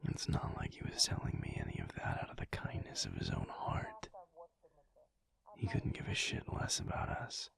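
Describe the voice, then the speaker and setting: A man playing a calm, brooding alpha werewolf pack leader in an intimate audio roleplay, speaking thoughtfully in a breathy, gravelly near-whisper with halting pauses. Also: rumbling low, full character voice. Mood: wary, deliberate. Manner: slow, halting, pensive delivery.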